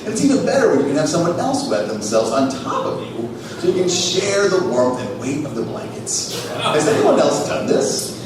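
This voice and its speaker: Animated man hosting in an echoey theater. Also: fairly high pitch, normal speed, crowd noise. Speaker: comedy show host. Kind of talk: live comedy reading and storytelling. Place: live on stage in a small theater. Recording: echoey theater, distant mic, noisy.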